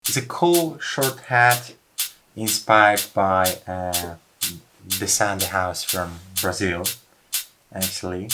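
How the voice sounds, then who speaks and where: A man's voice: low-pitched, slow, noisy background. A man music producer casually walking through how a track was made. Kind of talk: casual studio walkthrough.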